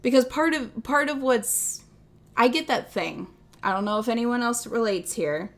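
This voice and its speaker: A woman's voice: fairly high pitch, moderate pace. A young woman with a Midwestern accent, chatting casually on a livestream, rambling and self-deprecating, laughing often. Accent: Midwestern American. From American Midwest. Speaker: streamer.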